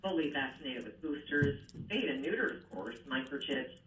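A woman's voice: extremely low pitch, animated, normal speed. A woman with a Canadian accent speaking earnestly as a radio interview guest, heard from a radio playing across a room. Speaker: animal rescue founder, radio guest. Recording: distant, echoey, noisy radio playback in room.

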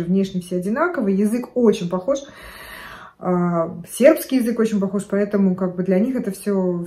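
Middle-aged woman vlogger, mellow tone, chatty and digressive, filmed in a living room. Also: mid-range voice. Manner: chatty, digressive, gestures a lot while talking. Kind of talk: casual chat to camera. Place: living room at home, indoors.

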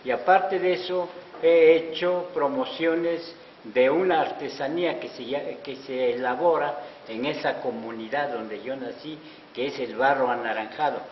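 A man's voice: mid-range voice, unhurried. An older man from Oaxaca whose first language is Zapotec, telling his story modestly in an interview, speaking into a handheld mic in an indoor hallway. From Oaxaca, Mexico. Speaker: interviewee, artisan promoter. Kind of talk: interview answers. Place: wood-paneled auditorium hallway, indoors. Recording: echoey hall, dull lo-fi sound with hiss.

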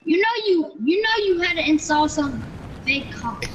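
A woman's voice: high-pitched, lively intonation, normal speed, fairly bright tone, lo-fi sound. A female player in casual game voice chat, giving defiant, childish comebacks.

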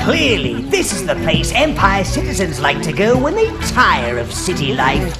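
Game casting: croaky old henchman creature, fawning and sly, extremely expressive, over loud music. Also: high-pitched, normal speed. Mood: disdainful. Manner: fawning, theatrical narration to his master. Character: old wheedling male minion advisor, servile and sly. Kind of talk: video game cutscene acting.

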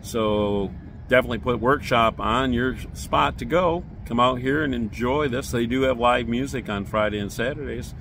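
An older man in his sixties giving a relaxed, rambling beer-garden tour, filmed on a handheld phone outdoors. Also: medium pitch, normal speed, slight background noise. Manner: relaxed, rambling, many fillers. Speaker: beer review vlogger. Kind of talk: casual vlog walk-through. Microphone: phone camera at arm's length.

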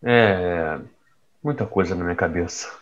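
A man's voice: sits low, a little slow, muffled recording. Man acting a casual, reckless young man. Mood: troubled. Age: young adult. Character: reckless young man caught in crooked dealings. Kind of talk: audio drama acting.

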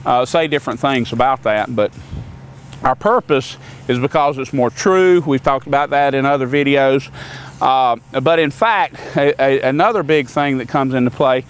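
An older man on a lawn beside a sawmill, plainly explaining equipment in an unscripted, folksy way with many fillers and restarts. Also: medium pitch.

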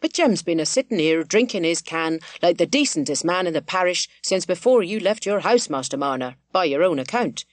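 A woman voicing a blunt, steady male innkeeper in a storybook, conciliatory but firm, with rustic phrasing. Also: lowish voice, a little fast, hardly any pauses, no echo, close to an everyday voice. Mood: reasoning.

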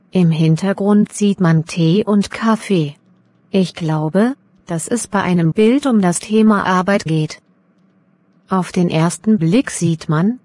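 A woman voicing a young exam candidate in a scripted role-play, speaking in slow, careful sentences. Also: on the low side, a little mellow, no room sound. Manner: slow, careful learner's German.

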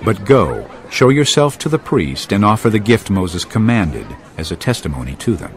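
An actor playing a solemn, authoritative male teacher and healer in a dramatised audio Bible, speaking in a grave, measured way. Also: on the low side, big pitch swings. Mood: firm, grave.

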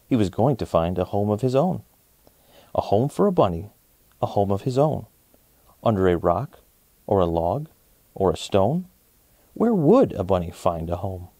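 An American man in his forties reading a storybook aloud to children, with clear enunciation and expressive phrasing. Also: mid-range voice, moderate pace, long pauses, no room sound. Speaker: children's story time host.

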